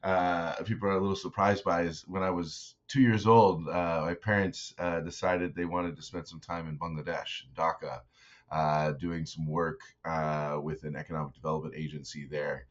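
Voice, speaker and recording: A man from Saskatchewan, an interview guest, talking in a relaxed, reflective way with many fillers. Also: a bass voice, normal speed. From Saskatchewan, Canada. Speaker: guest, startup investor. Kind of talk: casual interview conversation. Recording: dull, lo-fi remote audio.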